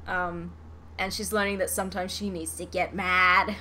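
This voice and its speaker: A woman's voice: lowish voice, level tone, normal speed, pushed and bright, a little hiss or hum. A woman hosting a podcast, chatting casually and expressively about a show, with some swearing. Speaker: podcast host. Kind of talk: casual fan chat reviewing a show.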